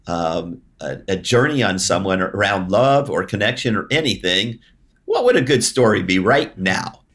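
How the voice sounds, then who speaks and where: A man from Hungary with a Hungarian accent, hosting a podcast and chatting casually with halting restarts. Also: lowish voice, very animated, fairly bright tone. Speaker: podcast host. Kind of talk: casual interview chat.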